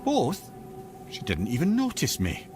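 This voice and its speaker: An actor playing a chatty, witty old advisor in a video game, a wry storyteller. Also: male voice, a little high, wildly swooping pitch, unhurried, over music. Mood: dryly amused. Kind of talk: video game dramatic acting.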